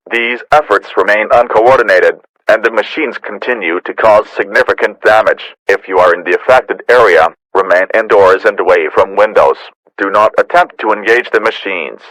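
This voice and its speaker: A man with a fairly low voice reads a scripted emergency alert. His delivery is formal and measured, like an official announcer. The sound is very dry, without any room echo. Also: fairly bright tone.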